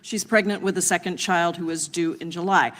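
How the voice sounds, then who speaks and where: A woman's voice: lowish voice, steady tone, brisk, a bit bright. A congresswoman at a committee hearing, earnestly laying out prepared remarks before asking a witness a question. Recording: long reverb of a hearing room.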